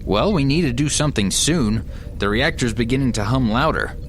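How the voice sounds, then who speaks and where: A man as a lively, explaining, know-it-all son. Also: mid-range voice, moderate pace, a little hiss or hum, close to an everyday voice. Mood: focused. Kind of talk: family comedy radio play.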